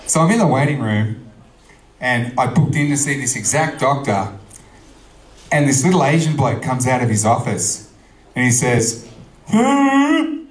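An Australian man in his thirties doing stand-up, crude and deadpan, in a middle-pitched voice. He speaks into a handheld mic on a club stage, with a roomy echo behind him. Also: moderate pace, long pauses. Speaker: stand-up comedian. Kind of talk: stand-up comedy set. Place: comedy club stage, indoors.

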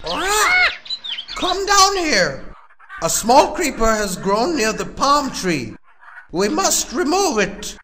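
A very high, bright cartoon parrot chief, cautious and worried. He warns slowly and emphatically, breaking into squawks. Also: wildly swooping pitch, slight background noise, exaggerated voice. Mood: urgent. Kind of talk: children's animated fable.

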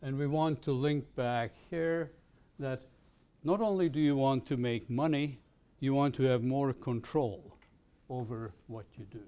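An older man gives a lecture in a university lecture room. He speaks slowly and deliberately, in a mid-range voice, and lays out each idea with care. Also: mid-range voice, warm tone, clean tone, lo-fi sound. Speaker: business school professor.

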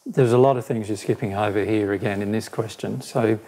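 Man, 40s, hoarse and husky, mellow tone; earnest, direct explainer on a lapel mic. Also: lowish voice, fairly even intonation, brisk, poor audio. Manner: earnest, direct, repetitive phrasing. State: hoarse, voice giving out. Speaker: spiritual teacher answering questions. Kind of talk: explanatory interview answer. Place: small studio with plain backdrop.

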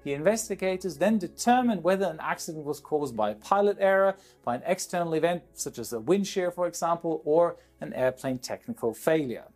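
A man's voice: a little high, expressive, brisk, few pauses, darkish tone. Man presenting a scripted explainer over music. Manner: didactic, poses questions and answers them. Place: small indoor studio. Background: background music.

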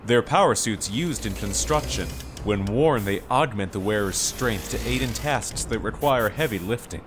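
A flustered male business owner, acted by a man, self-important and emphatic, with a very animated, swinging pitch. Outdoor street noise is clear behind this audio drama voice. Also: normal speed, close to an everyday voice. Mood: explaining.

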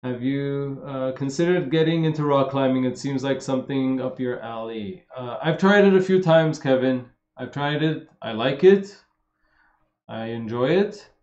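A man in his thirties from Los Angeles with a slight Armenian accent, a fitness coach answering viewer questions in a relaxed, rambling live chat. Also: medium pitch, unhurried, echoey room, muffled recording. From Los Angeles, USA. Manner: relaxed and rambling, with many fillers and swearing. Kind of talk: casual live-stream Q&A.

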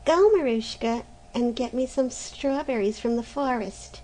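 A woman voicing a spoiled, bullying older sister who sulks and threatens in a raised, high voice. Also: measured pace, warm tone. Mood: commanding. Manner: sulky, shrill, threatening.

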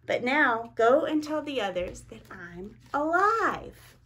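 A woman's voice: fairly high pitch, big pitch swings, slow, fairly bright tone, full character voice. A woman voicing a kind, tender male Jesus in a children's storybook, speaking in a comforting way.

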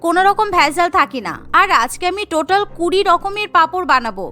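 A woman voicing a cheerful, stubborn young housewife in a cartoon story, chattering briskly and insistently. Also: extremely high pitch, lively intonation, pushed and bright, exaggerated voice. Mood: eager, reassuring. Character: busy, cheerful, stubborn young Bengali housewife and daughter-in-law.

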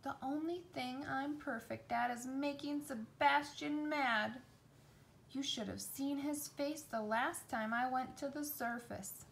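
A woman's voice: high-pitched, slow pace, creaky, fairly bright tone, slight background noise, pitched above the performer's own voice, exaggerated voice, low-quality recording. A woman voicing a cheerful, adventurous young mermaid in a storybook, eager and encouraging. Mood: reassuring.

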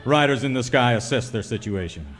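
An actor playing a droll old-time radio announcer, narrating with mock drama. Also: male voice, medium pitch, expressive, brisk, fairly bright tone, soft background music, lightly acted. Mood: mock-dramatic. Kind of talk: melodramatic scripted narration.

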